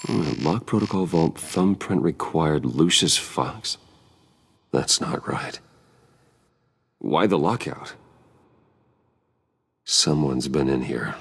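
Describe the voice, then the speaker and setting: A man playing a guarded, resolute hero in a video game, speaking in a terse, controlled way with a husky voice. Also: very deep voice, animated, takes it slowly, pauses often, fairly bright tone, in a large hall, full character voice. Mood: focused. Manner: terse, restrained. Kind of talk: dramatic video game acting.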